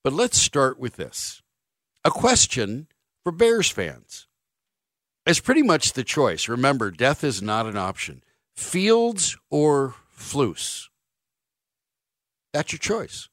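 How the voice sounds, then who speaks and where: A man's voice: mid-range voice, wildly swooping pitch, slow, pauses often, a bit bright, no room sound. Dry, sarcastic middle-aged sports radio man. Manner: wry, sarcastic jokes, rambling anecdotes. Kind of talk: sports talk radio monologue. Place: radio studio. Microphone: studio microphone.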